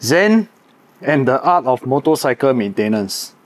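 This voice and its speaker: A man's voice: medium pitch, big pitch swings, unhurried. A man in his thirties with a Singaporean accent, co-hosting a casual product review. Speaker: co-host and product tester.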